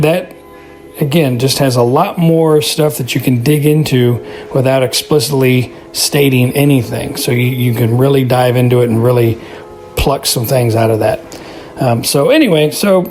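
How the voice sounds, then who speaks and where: A man giving casual spoken feedback to a podcast, rambling and halting in a conversational way. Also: mid-range voice, darkish tone. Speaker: podcast listener sending audio feedback.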